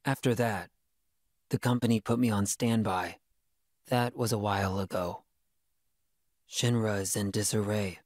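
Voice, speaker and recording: An actor playing a young, earnest but brash and disillusioned elite soldier in a video game. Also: male voice, lowish voice, dry recording, studio quality. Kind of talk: video game cutscene acting.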